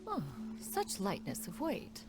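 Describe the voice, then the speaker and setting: A woman's voice: mid-range voice, huge pitch swings, slow, exaggerated voice. A woman playing a proud, protective adept in a fantasy video game, speaking in stately, archaic, formal phrasing. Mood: reassuring. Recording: prominent music under the voice.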